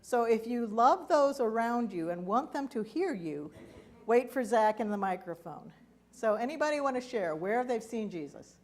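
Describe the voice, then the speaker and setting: A woman's voice: fairly high pitch, animated, moderate pace. Woman deacon preaching conversationally. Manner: warm, conversational preaching to a congregation. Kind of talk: sermon with congregation sharing. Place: church sanctuary.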